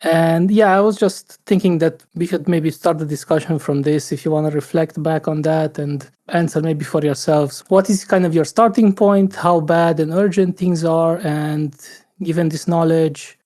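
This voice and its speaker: Mellow-voiced male podcast host. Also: a little high, fairly even intonation, moderate pace. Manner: thoughtful, hesitant, many fillers. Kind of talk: casual podcast conversation.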